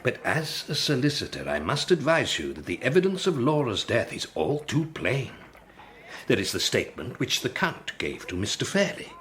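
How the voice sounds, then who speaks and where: An actor playing a sober, sceptical Victorian family solicitor with an English accent, formal and measured, in an audio drama. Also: male voice, mid-range voice, big pitch swings, fairly bright tone. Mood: sceptical, regretful.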